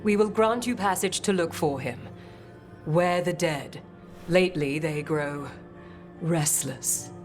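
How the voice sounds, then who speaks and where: Formal, low-voiced lady necromancer. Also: slow, music in the background. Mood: composed, ominous. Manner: formal, precise. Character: composed, formal lady necromancer, scholarly and courteous.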